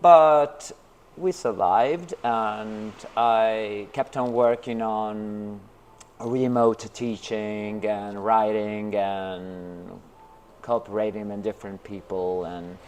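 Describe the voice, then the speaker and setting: Interview guest, male, from New York, slow and halting, lots of um and uh, low-ish voice. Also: fairly even intonation, darkish tone. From Brooklyn, New York. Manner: hesitant, many fillers and restarts. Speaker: interview guest, artist and teacher. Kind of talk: casual interview talk.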